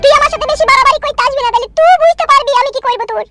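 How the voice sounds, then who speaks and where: A woman voicing a shrill, quarrelsome, demanding village wife in a comedy cartoon, scolding crudely. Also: racing, sharp tone. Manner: scolding, foul-mouthed.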